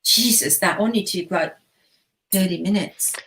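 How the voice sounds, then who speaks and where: A woman in her forties from Turkey speaks English as an in an earnest, searching way, pausing on fillers and circling back as she explains. She talks as a relationship coach in a relaxed online chat, her voice sitting at an ordinary middle pitch. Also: rough recording. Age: 40s. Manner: earnest, thinks aloud with fillers and restarts. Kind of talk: casual chat.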